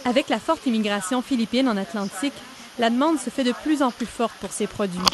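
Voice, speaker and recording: A woman reporter reading a scripted news report in a measured, even way. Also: a little high, very noisy. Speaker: radio reporter.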